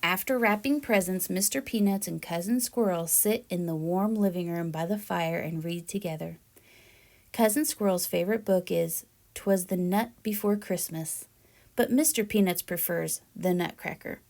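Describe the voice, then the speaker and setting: A woman reading a children's picture book aloud in a deliberate, expressive storytelling manner. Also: lowish voice, gravelly. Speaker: read-aloud storyteller, teacher-like presenter.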